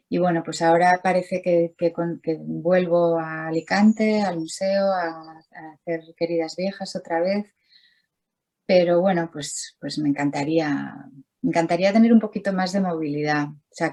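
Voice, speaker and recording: A woman's voice: on the low side, a little mellow. A middle-aged Spanish-speaking woman, an artist talking casually over a video call, rambling with many restarts and fillers. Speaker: artist, interview guest.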